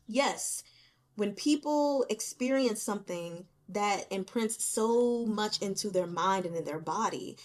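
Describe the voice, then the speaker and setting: Female book-channel host, mid-pitched, informal and chatty, candid, animated delivery. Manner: candid, animated, colloquial, quick to laugh. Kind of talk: casual chatty book commentary. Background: rain.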